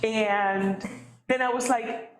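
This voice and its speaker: A woman on a library committee who tells anecdotes in an animated way and acts out her own earlier reactions. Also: fairly high pitch, takes it slowly, roomy sound, rough recording. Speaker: committee member. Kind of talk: committee meeting discussion.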